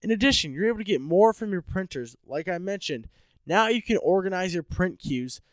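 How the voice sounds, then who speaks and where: A man's voice: high-pitched, animated, fairly quick, no room sound. A man hosting a solo podcast, stuffed up with a head cold, explaining things in an upbeat, informal way. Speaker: podcast host.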